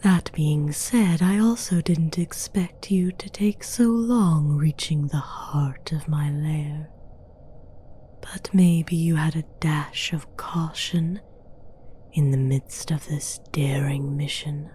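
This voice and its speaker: A woman's voice: low-pitched, fairly even intonation, mellow, slight background noise. A woman playing a menacing, mocking demoness queen in a whispered, condescending ASMR roleplay. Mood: smug, mocking. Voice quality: breathy whisper. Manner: slow, deliberate, condescending.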